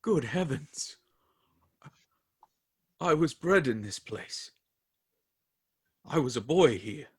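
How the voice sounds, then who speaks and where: Brief: man playing a sardonic old miser, unhurried delivery, radio drama. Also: fairly high pitch, animated, breathy edge, full character voice. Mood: wistful. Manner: dry, grumbling complaints. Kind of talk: community radio play acting.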